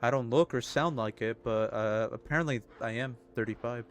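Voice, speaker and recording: A man's voice: mid-range voice, moderate pace. A man in his thirties, streaming a game and chatting casually with his viewers, laughing and swearing easily. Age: 30s. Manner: laid-back, swears and laughs easily, talks to chat. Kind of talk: casual live-stream chat while gaming. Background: faint music.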